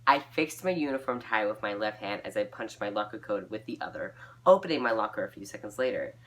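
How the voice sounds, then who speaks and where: Animated woman reading aloud with casual asides. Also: extremely low pitch, brisk. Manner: giggly, self-mocking. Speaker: video creator reading old fan fiction.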